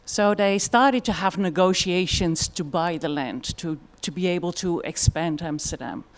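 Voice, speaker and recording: Dutch-accented woman giving a conference talk, kinda low voice, lots of ums and uhs. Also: unhurried. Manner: thoughtful, with frequent fillers. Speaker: conference speaker. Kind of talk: conference presentation.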